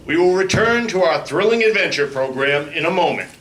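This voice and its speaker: A man's voice: on the high side, animated, brisk, fairly bright tone. A man announcing a live radio play in old-time serial style, on a noisy stage recording.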